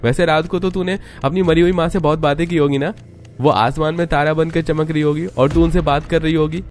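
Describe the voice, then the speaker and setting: A man's voice: fairly high pitch, fairly even intonation, a little fast, faint music behind, pitched above the performer's own voice, natural voice. A man voicing a cruel young brother who sneers and mocks in a cartoon story. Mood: mocking. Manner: sneering, mocking. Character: cruel taunting young brother mocking his stepsister.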